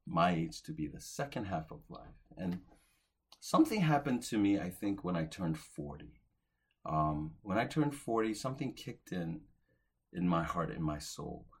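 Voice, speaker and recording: A man in his forties preaching, his voice low and husky. He speaks earnestly, rising to emphatic peaks and then falling soft and quiet at the ends of his phrases. The delivery is a pastor's steady sermon. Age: 40s.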